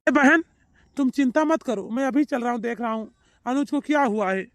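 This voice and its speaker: A man voicing a devoted, gentle adult brother in a melodramatic cartoon, earnest, emphatic and reassuring. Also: very high-pitched, moderate pace, no room sound, studio quality. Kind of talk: melodramatic Hindi cartoon family drama voice acting.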